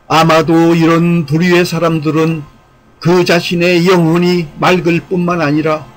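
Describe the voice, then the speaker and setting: A man's voice: a little high, rough recording. A man reading scripted commentary aloud in a formal, earnest, exhortatory way, as the host of an online channel.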